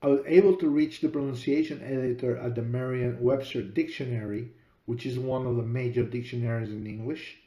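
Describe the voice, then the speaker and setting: A middle-aged Peruvian man with a Spanish accent tells his own story in a slow, deliberate podcast monologue. His voice is mellow and warm. The room around him echoes noticeably. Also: mid-range voice, steady tone. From Peru. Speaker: podcast host and author.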